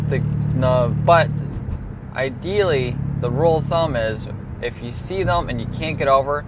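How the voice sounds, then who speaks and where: A man who drives a tow truck, talking casually and earnestly about road safety, stuttering and restarting his words often. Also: medium pitch. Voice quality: stutters, frequent repetitions and restarts. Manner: earnest, pleading safety appeal. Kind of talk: casual vlog talk to camera. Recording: loud roadside traffic noise.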